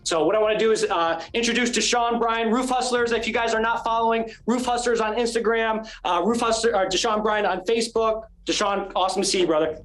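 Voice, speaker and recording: A man's voice: high voice, fairly even intonation, brisk, few pauses, fairly bright tone. A man introducing a guest on a video call in a grateful, enthusiastic way. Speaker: meeting host introducing a guest.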